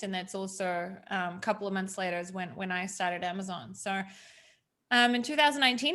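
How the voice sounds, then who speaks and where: A woman's voice: medium pitch, a bit bright. A woman, chatting casually as an interview guest with frequent ums. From New Zealand.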